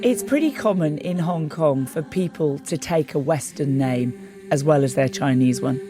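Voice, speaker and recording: A hoarse woman with a low voice narrates a documentary podcast. She speaks in a measured, deliberate way, letting each detail land. Her voice sounds croaky and a little worn. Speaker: host and investigative journalist.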